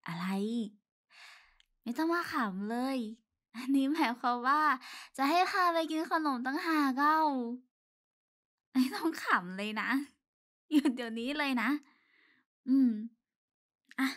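A woman's voice: sits high, animated, slow pace, pauses often, fairly bright tone, heavily acted. A woman voicing a proud, easily embarrassed tsundere schoolgirl in an anime style. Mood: embarrassed, huffy. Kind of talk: anime-style voice pack acting.

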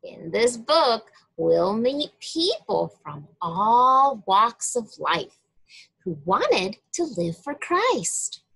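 A woman reading a story aloud to young children in a deliberate, expressive way, addressing them directly. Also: high voice, creaky, husky, low-quality recording. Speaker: children's story reader at a church.

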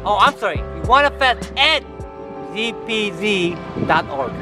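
An older man from Korea with a Korean accent, talking haltingly with many fillers as a breeder in a casual outdoor interview. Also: high voice, lively intonation, over music. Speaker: reptile breeder and farm owner. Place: outdoors beside reptile enclosures. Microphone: camera mic, close framing. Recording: outdoor recording with loud background noise.

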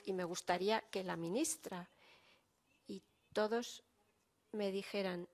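Woman doing steady simultaneous interpreting. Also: on the low side.

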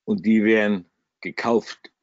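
A man's voice: on the low side, fairly even intonation, takes it slowly, no echo. A man with a German regional dialect hosting a live trading webinar, talking bluntly and chattily with salty humor while he walks through his screen. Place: at a computer, screen shared. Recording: dry, slightly dull webinar audio.

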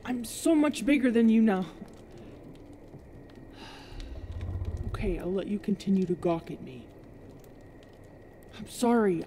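Woman acting a soft, mellow, anguished werewolf girlfriend. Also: mid-range voice, slight background noise, natural voice. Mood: reluctant, insecure. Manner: halting, emotional, breaking into whispers. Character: huge, self-loathing young werewolf girlfriend, anguished and tender. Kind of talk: ASMR roleplay acting. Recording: cricket and night ambience behind.

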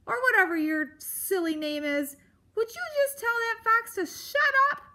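Casting: cantankerous storybook critter, voiced by a woman, pitched high, snappish, brash, blustering. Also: big pitch swings, slow, pushed and bright, exaggerated voice. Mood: cranky, exasperated. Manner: snarling, snapping, brash and insulting. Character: grumpy old male porcupine-like beast, blustering, crotchety. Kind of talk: children's storybook character voice.

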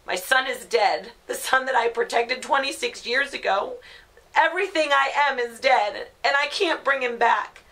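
A woman's voice: on the low side, creaky, pushed and bright, heavily acted, rough recording. A woman, an actor playing a middle-aged grieving, furious mother in an emphatic, emotional dramatic monologue. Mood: anguished, furious. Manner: emphatic, emotional, with deliberate repetition. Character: grieving, furious mother of a dead grown son.

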